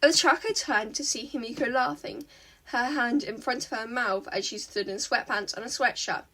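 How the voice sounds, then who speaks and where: A woman reading fan fiction aloud as an expressive narrator. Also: on the high side, normal speed, far from the mic.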